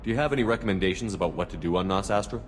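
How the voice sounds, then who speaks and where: A direct military commander from a sci-fi game, played by a man, with a lowish voice and quick pace. He questions in a businesslike, inquisitive manner. Clear ambient noise runs behind him. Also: lightly acted. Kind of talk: scripted video game dialogue.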